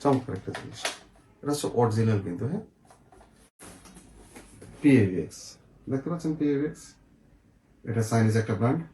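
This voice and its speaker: A man's voice: medium pitch, dark tone. A man with a Bangladeshi accent casually presenting and explaining products as a seller, often checking in with the viewer. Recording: echoey room, faint noise, clicks.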